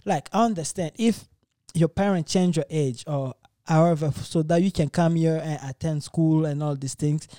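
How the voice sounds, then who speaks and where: A man's voice: a little high, moderate pace, few pauses, warm tone, dry recording, studio quality. A man about thirty hosting a casual talk show, laughing often and making earnest points. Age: 30s. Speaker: host. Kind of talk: casual chat.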